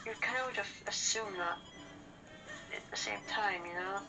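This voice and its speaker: A woman's voice: mid-range voice, normal speed, vocal fry, rough recording. A woman chatting casually and bluntly as co-host of a gaming session, swearing now and then. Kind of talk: casual chat during a video game session. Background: video game music.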